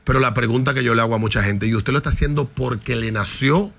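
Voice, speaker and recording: A man's voice: medium pitch, quick pace, vocal fry, a bit bright. A man with a Dominican accent, a guest in a podcast studio, telling a story with heat and plenty of swearing. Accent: Dominican Spanish. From Dominican Republic. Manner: heated, profane storytelling. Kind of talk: casual chat. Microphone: studio mic on stand.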